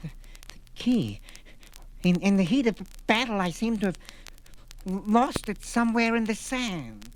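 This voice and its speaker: An actor playing a small, shriveled, scheming desert slave trader in a 1970s audio drama, with an oily, theatrical manner. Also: male voice, high-pitched, big pitch swings, a little slow, pauses often. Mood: sheepish.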